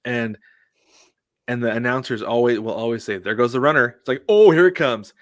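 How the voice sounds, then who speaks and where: A man's voice: mid-range voice, fairly quick, dry recording, studio quality. A man co-hosting a sports podcast, telling casual stories and acting out what people said. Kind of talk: casual baseball chat with anecdotes.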